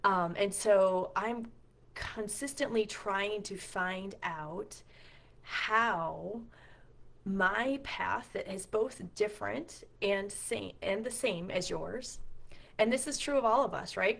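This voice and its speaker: A woman's voice: medium pitch, takes it slowly, creaky, a bit bright. A middle-aged woman with a scratchy voice talking casually and earnestly straight to her viewers, often putting questions to them. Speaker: homeschool community founder and co-leader. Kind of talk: casual direct-to-camera vlog talk.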